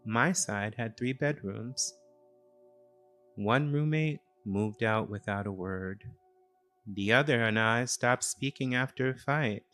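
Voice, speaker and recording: A man narrating a scripted first-person story in a solemn, reflective, measured way. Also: medium pitch, a bit bright, faint music behind.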